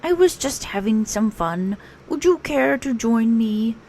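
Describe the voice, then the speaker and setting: A woman's voice: fairly high pitch, lively intonation, measured pace, a little mellow, slight background noise, pitched above the performer's own voice, rough recording. A woman voicing a cheerful, naive, polite male teddy bear from a children's storybook.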